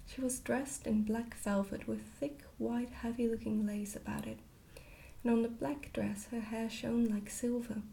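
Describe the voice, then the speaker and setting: Woman whispering a storybook asmr style, gentle, bit crackly, on the higher side. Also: level tone, measured pace, vocal fry. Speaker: storybook reader, ASMR creator. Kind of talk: whispered ASMR book reading.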